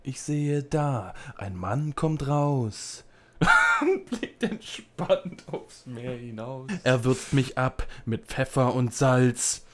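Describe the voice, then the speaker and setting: A man recites haltingly in a mid-pitched voice, very slowly. His intonation moves around, and the recording is extremely noisy. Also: animated.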